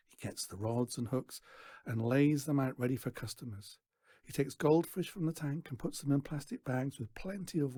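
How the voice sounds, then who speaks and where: A person reading a children's novel aloud as an expressive storyteller, acting out the dialogue in an animated way. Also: medium pitch, slightly rough, dry recording. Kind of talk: expressive scripted narration.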